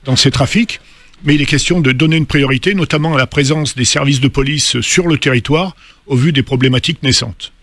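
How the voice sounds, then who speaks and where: A man speaking as a studio guest on a radio debate, measured and full of hesitations. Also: medium pitch, a bit bright, dry recording.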